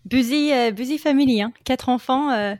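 A woman hosts a casual podcast interview with a fairly high voice. Her sound is dry and close to the mic, and she keeps things lively with quick questions and short reactions. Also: no echo. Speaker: podcast host.

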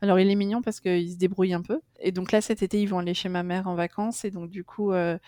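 Chatty French woman, dark mellow voice. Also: on the low side, steady tone, fast, no echo, clean studio sound. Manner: chatty, hesitant with many fillers and restarts, laughs often. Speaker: interview guest. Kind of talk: casual conversational interview.

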